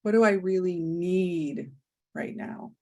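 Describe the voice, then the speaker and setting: A woman leading a guided meditation, speaking softly and soothingly with long pauses. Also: on the low side.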